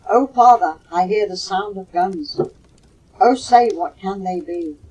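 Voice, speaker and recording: An older woman voicing a frightened young girl at sea in a recited ballad, pleading urgently, on a low-fidelity home cassette. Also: measured pace, mellow, slightly rough, distant mic, highs cut off.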